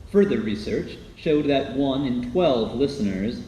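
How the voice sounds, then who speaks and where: A man's voice: mid-range voice, lively intonation, unhurried, mellow. A man narrating a scripted radio documentary in a dramatic, announcer-like way. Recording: echoey, faint background noise.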